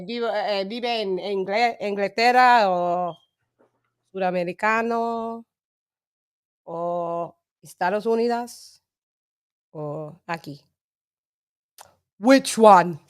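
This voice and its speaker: A woman's voice: medium pitch, slow pace, long pauses, clean tone. A woman with an English accent hosting a casual live chat, laughing often and switching between English and Spanish. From England. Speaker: livestream host.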